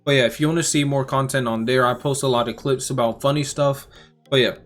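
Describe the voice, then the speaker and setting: A man giving casual game reaction commentary as a YouTuber, talking informally and rambling as he thinks out loud. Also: mid-range voice, steady tone, brisk. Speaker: gaming YouTuber. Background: music.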